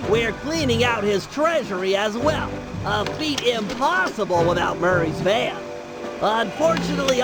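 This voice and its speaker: Heist planner, cartoonish game critter: extremely high-pitched, bookish, earnest and exact, loud music underneath. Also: male voice. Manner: precise, bookish, explanatory. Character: brainy, earnest male animal sidekick who plans the heists. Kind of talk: video game character acting. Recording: prominent music behind the voice.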